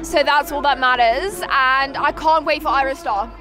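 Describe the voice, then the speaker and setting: A young woman from South Africa, a bubbly and excited concertgoer, interviewed outdoors at night into a handheld mic. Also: sits high, normal speed, loud music behind the voice.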